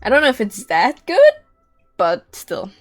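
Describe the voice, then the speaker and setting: A woman's voice: a little high, wildly swooping pitch, measured pace. A woman streaming a game, chatting casually and informally in a rambling way. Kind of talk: casual livestream chat. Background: game music.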